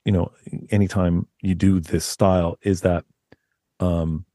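A man with a deep voice, a guest author talking in a loose, rambling way with many restarts. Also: flat delivery, a little slow, mellow, no room sound, clean studio sound. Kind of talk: casual interview chat.

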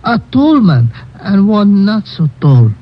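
An actor playing a drunken, self-pitying peasant field hand with a Spanish accent, slurring mournfully in an old radio play. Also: male voice, high voice, sing-song, slow pace, full character voice. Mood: tipsy, mournful. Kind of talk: old-time radio comic character acting. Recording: muffled old radio recording, faint noise.

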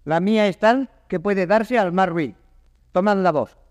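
A man's voice: sits high, measured pace, lo-fi sound. A man playing a cowardly, cheeky servant in classical verse theatre, with mock-pompous comic delivery. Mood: flippant. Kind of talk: classical Spanish verse stage acting. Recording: studio theatre recording, long reverb.

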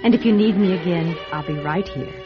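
An actress playing a solicitous woman friend in a radio drama, gently reassuring and coaxing. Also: fairly low pitch, fairly quick, close to an everyday voice. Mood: soothing. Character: soothing, solicitous woman friend of troubled widow. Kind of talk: old-style radio drama acting. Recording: dull radio recording with music.

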